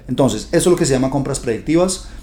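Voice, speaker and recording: A man's voice: medium pitch, lively intonation, quick pace, mellow, slight background noise. A man hosting a podcast, talking to his listeners in a chatty, upbeat, direct way. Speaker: podcast host. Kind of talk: casual podcast monologue.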